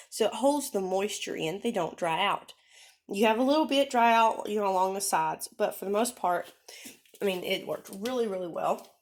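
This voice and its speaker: Nasal woman getting over a cold. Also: mid-range voice. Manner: chatty, rambling, with many ums and self-corrections. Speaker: vlogger. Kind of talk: casual how-to demonstration.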